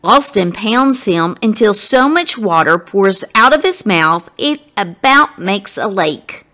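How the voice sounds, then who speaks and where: A woman reading a children's story aloud as a guest reader, expressive and dramatic, speaking directly to her listeners. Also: mid-range voice, takes it slowly.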